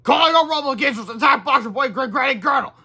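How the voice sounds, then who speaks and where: A man's voice: very high voice, animated, fast, creaky, sharp tone, breathy edge, voice pushed up, full character voice. A man voicing scheming villain boys in a children's storybook read-aloud. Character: scheming cartoonish villain boys from a backward universe.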